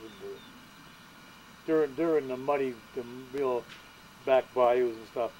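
A man's voice: medium pitch, unhurried, a little mellow. A man chatting casually and in a rambling way outdoors on a camping trip. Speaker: camper on a family trip. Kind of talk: casual chat. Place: outdoor campsite by a lake. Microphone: camcorder microphone. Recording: noisy camcorder audio outdoors.

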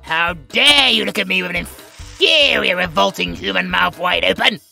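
A man's voice: sits high, moderate pace, gravelly, sharp tone, faint music behind, full character voice. A British man voicing a bratty, self-important tiger warrior in a comedic audio roleplay, haughty, demanding and full of theatrical exclamations. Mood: haughty proud.

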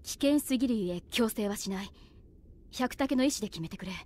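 A woman's voice: on the high side, lively intonation, fairly quick, a little mellow. A woman playing a stern young female leader, formal and commanding, in an anime audio drama. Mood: serious.

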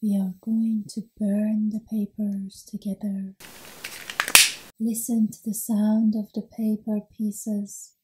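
A woman leading an ASMR guided meditation in a soothing, unhurried, mostly whispered delivery. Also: medium pitch, little pitch movement, very noisy.

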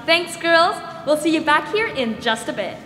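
Young woman as a high, bright 1940s radio hostess. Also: big pitch swings, moderate pace, faint music behind. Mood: brisk, upbeat. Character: gracious, upbeat 1940s female radio hostess. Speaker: radio show host. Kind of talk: 1940s radio acting, scripted announcing. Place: school theatre stage, indoors. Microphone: stand microphone. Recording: very echoey hall, faint noise.

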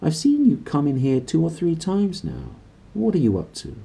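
A man reading a storybook, voicing a plump elderly clergyman who is kindly, genteel and gently probing. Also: a little high, wildly swooping pitch, moderate pace, gravelly, husky, voice pushed up, full character voice. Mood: curious, questioning. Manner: genteel, paternal, questioning.

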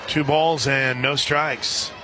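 A man doing live radio play-by-play of softball in a conversational way that can burst into excited calls. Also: mid-range voice, slow, noisy background. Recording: crowd and music behind broadcast. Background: stadium crowd, music.

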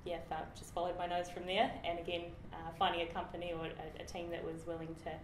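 A woman's voice: fairly low pitch, quick pace. A young woman from New Zealand with a New Zealand accent, chatting casually as an engineer being interviewed, with frequent fillers and restarts. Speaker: project engineer guest. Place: office meeting room with large windows. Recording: roomy office with steady background noise.

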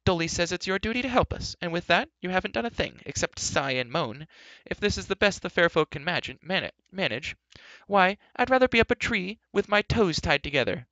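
A man's voice: high voice, big pitch swings, brisk, a bit bright, dry recording, muffled recording, voice pushed up, exaggerated voice. A man voicing a feisty, scolding young girl in a storybook reading, indignant and emphatic. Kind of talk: children's storybook character reading.

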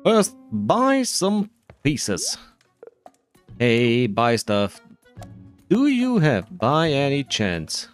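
A man's voice: medium pitch, huge pitch swings, drawn-out words, pauses often, faint music behind. A man doing casual playthrough commentary, musing to himself in a dry, self-deprecating way. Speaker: gaming video creator.

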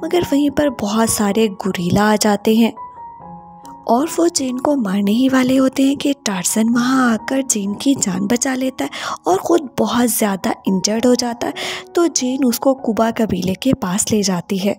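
A woman narrating a movie plot recap in a steady, scripted voice-over. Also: fairly high pitch, a little slow. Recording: voice-over with loud background music.

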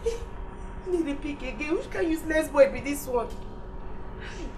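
A woman's voice: high-pitched, lively intonation, moderate pace, music in the background. A woman with a Nigerian accent playing a betrayed, furious young lover in a Pidgin comedy-drama, wailing and scolding. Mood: aggrieved, wailing. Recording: distant mic with faint noise.

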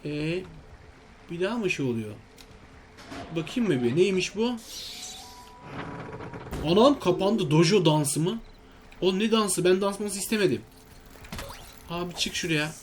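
Man, high voice, casual game commentary, exclamatory, chatting to viewers and himself, slight background noise. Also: lively intonation, measured pace, pauses often. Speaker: gaming video host.

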